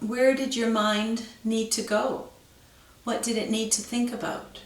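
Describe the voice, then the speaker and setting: Woman talking you thru a breath meditation, super slow and soothing, echoey room, a bit off mic. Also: medium pitch, vocal fry, recorded from a distance. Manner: slow, calm, soothing instructions with long pauses. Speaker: yoga teacher.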